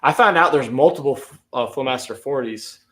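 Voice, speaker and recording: A man hosting a casual car livestream, rambling and restarting as he talks in quick bursts. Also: mid-range voice, a bit bright. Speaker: livestream host.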